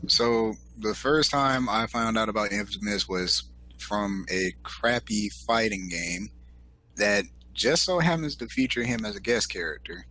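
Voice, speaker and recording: A man chatting as a podcast guest, telling stories in an animated, chatty way. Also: lowish voice, measured pace, poor audio. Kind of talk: casual podcast chat.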